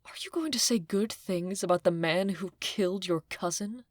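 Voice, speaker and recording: A woman's voice: fairly low pitch, moderate pace, dry recording, studio quality, natural voice. A woman playing an overwrought older nurse in an audio drama, lamenting breathily and repeating herself. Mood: bitter. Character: wailing, overwrought older female nurse, bitter servant. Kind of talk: audio drama acting of modernized Shakespeare.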